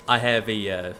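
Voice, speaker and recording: A man's voice: vocal fry, sharp tone, slight background noise. A man chatting casually on a live game stream, reading chat aloud and reacting to the game, close to a desk microphone in a small room. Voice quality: deep voice. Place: small room facing a wall.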